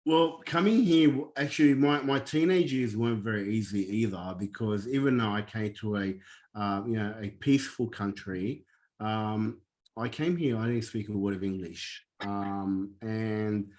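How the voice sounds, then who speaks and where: A man's voice: lowish voice, moderate pace, a little mellow. Earnest Lebanese-born man. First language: Arabic. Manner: earnest, frequent ums and restarts. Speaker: guest. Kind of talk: candid personal storytelling.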